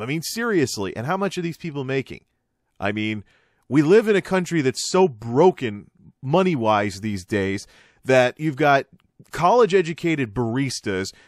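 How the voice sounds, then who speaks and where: A man's voice: mid-range voice, dry recording, studio quality. A man giving an informal, opinionated radio-style monologue in an exasperated, emphatic, sardonic manner. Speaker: commentator, host.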